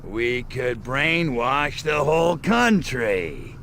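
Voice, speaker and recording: A man voicing a gruff, sardonic little alien invader with a gravelly, deadpan delivery in a video game. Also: mid-range voice, slow, heavily acted. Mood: deadpan, sarcastic. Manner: deadpan, sarcastic. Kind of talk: video game cutscene acting.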